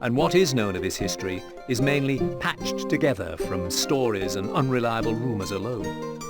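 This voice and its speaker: Male game narrator, mid-range, measured and formal storytelling, set over strong musical backing. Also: medium pitch, expressive. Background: loud plucked-string music.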